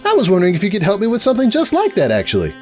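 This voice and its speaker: A man's voice: high-pitched, wildly swooping pitch, racing, soft background music, voice pushed up. A man voicing a young female undead pony sorceress, stubbornly optimistic, eager and breezy in a comic storybook reading. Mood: earnest. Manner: eager, breezy, brushing off problems.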